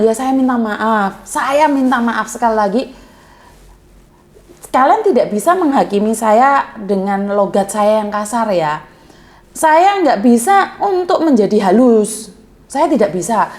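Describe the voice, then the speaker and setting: A woman's voice: fairly high pitch, a little mellow. A woman hosting a call-in advice chat, speaking bluntly and plainly, by her own account coarse and outspoken. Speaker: host of a call-in advice channel. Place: Hong Kong.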